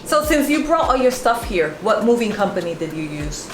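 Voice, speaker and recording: A woman's voice: mid-range voice, sing-song, moderate pace, background noise. Woman hosting an interview, echoey room. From United States, New York and New Jersey. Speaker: video host and interviewer. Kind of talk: casual sit-down interview. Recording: echoey room with music behind.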